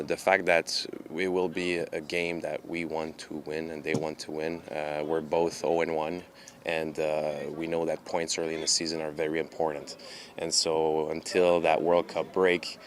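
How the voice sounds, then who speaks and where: Deep, flat-voiced French Canadian man. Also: a little hiss or hum. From Montreal, Canada. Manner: measured, halting, many filler pauses. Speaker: pro soccer goalkeeper. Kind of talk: press interview answers.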